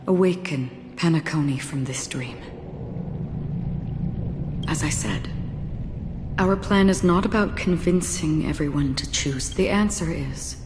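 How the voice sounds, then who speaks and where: An actress voicing a solemn female watcher who guides lost souls, speaking in a calm, measured way and softly whispering at times. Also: deep voice. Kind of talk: game cutscene voice acting. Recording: rain noise behind the voice.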